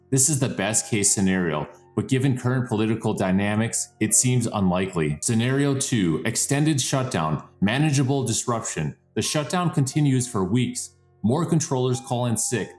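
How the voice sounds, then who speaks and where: A man's voice: lowish voice, roomy sound. A man narrating a scripted news explainer, speaking emphatically and dramatically, like a commentator pressing an urgent case. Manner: emphatic, dramatic, building lists for effect.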